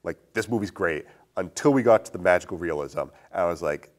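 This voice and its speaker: A man's voice: low voice, fairly quick. A man co-hosting a podcast, talking through a film review in a casual, conversational way and thinking aloud. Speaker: podcast co-host.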